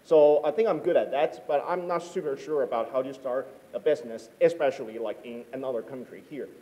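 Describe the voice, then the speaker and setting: Mellow young man, halting delivery. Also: medium pitch, rough recording. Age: 20s. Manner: halting, filler-heavy, informal. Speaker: startup founder, panelist. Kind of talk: unscripted panel answer. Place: stage of an auditorium, indoors. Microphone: handheld microphone.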